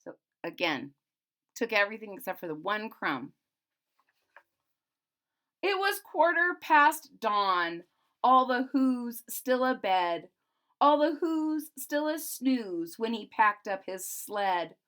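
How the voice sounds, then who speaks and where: A woman's voice: a little high, big pitch swings, takes it slowly, fairly bright tone. A woman reading a children's story aloud expressively, with simple casual asides explaining it as if to young children. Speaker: storybook reader.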